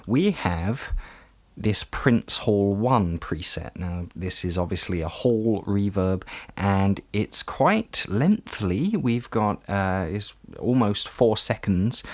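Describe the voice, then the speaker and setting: A man's voice: low-pitched, no room sound. A man narrating an informal step-by-step tutorial as an audio tutor, in a conversational, explanatory way.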